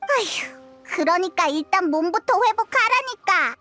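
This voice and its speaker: A woman voicing a small, excitable and blunt childlike fairy companion with a squeaky voice in an anime game. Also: unhurried, faint music behind, exaggerated voice. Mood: scolding.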